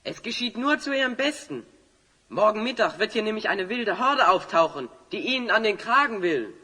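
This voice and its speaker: An actor playing a cheeky, plucky little dwarf hero in a children's audio drama, with a teasing manner. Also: male voice, sits high, normal speed, dry recording, exaggerated voice. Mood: insistent. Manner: teasing, animated.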